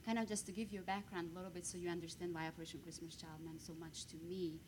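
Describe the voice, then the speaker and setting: Woman guest speaker, Hungarian accent, quick lively storyteller, lowish voice, faint rumble behind. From Romania. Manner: lively storytelling, bursts of emphasis. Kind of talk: personal testimony on stage.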